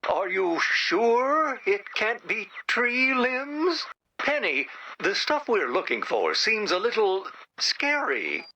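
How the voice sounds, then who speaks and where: An actor voicing an anxious, childlike, earnest robot in an animated video game, with a timid, hesitant manner and a robotic filter. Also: medium pitch, slow, exaggerated voice. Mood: nervous. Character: anxious, childlike, well-meaning robot, literal-minded.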